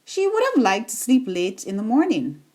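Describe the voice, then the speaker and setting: A woman reading a children's bedtime story in an expressive, deliberate storytelling manner. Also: fairly high pitch.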